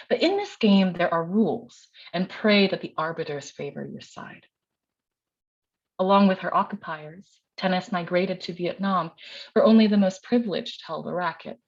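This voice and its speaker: Woman poet, middle-aged, slightly low register, public reading with casual introductions, muffled lo-fi capture. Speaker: poet and university teacher reading own work. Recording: dull, lo-fi sound.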